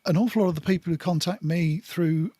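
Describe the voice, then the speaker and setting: An older man in a casual panel discussion, speaking in a measured, deliberate way. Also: on the high side, dark tone, no echo.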